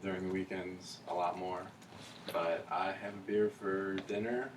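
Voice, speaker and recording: A young man in his twenties answers a focus group's questions in a halting, laid-back way. His voice is very low and flat. He sounds distant, with room echo and steady noise around him. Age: 20s. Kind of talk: casual answers. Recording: echoey room, far from the mic, noisy.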